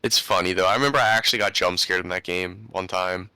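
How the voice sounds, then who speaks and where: A man's voice: sits low, steady tone, quick pace, sharp tone, clean tone, no room sound. A young man hosting a podcast, telling stories in a laid-back, rambling way with slang. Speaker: podcast host. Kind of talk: casual chat.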